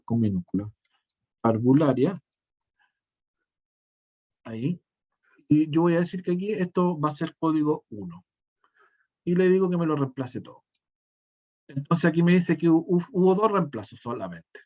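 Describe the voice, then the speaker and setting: A male teacher, walking through a software demonstration step by step and often restarting his sentences mid-thought. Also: a little high. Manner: step-by-step explaining, frequent self-corrections and restarts. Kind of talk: screen-share software tutorial.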